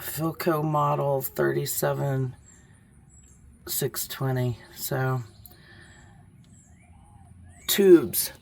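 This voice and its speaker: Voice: medium pitch, vocal fry, slight background noise. A vlogger who has lost their voice to illness, chatting casually while showing an old find and thinking aloud. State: hoarse from illness. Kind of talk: casual show-and-tell chat. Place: outdoors by a home.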